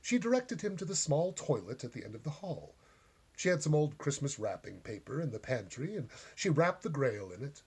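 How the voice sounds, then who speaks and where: A man reading a short story aloud as a storyteller, with gentle, deliberate and dramatic phrasing. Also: medium pitch, sing-song, slightly rough.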